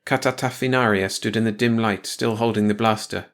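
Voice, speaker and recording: A man's voice: on the low side, fairly even intonation, a little fast, a bit bright. Man narrating a short story. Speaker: audiobook narrator.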